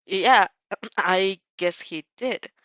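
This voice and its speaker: A woman voicing a gentle, downcast teenage boy student in a story, soft-spoken and polite. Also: mid-range voice, extremely slow, no echo, lo-fi sound. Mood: puzzled.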